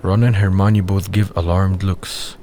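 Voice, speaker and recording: A man's voice: sits low, little pitch movement, a little slow, warm tone, a little hiss or hum. A man reading scripted narration for an audio drama.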